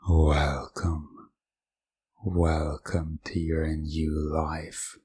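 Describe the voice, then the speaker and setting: A man playing a commanding, possessive hypnotist game master in a hypnosis roleplay, speaking deliberately and repeating himself hypnotically. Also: a bass voice, flat delivery, a little mellow, husky, no echo, full character voice. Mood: triumphant, possessive. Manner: slow, deliberate, dominating, hypnotic repetition.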